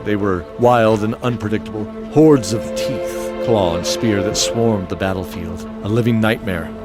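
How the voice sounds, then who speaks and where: Low-voiced man narrating fantasy fiction. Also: measured pace. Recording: clear music bed behind voice.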